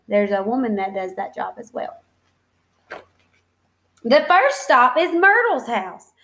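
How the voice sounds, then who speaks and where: Female primary teacher, high-pitched voice, expressive children's read-aloud with simple explanatory asides. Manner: simple child-directed phrasing, expressive, encouraging. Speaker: teacher reading a picture book to young children.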